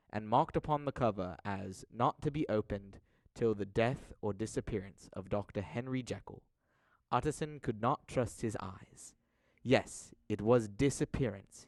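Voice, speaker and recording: A man narrating an audio drama from a script, telling the story in a measured, dramatic manner. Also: lowish voice, no room sound.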